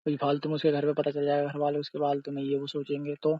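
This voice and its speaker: Young guy on a muffled call, husky voice, pretty flat tone, defensive and brushing things off. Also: on the high side, fast talker, no room sound. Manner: defensive, dismissive. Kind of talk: casual phone argument with sister. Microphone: phone. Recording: muffled lo-fi phone call recording.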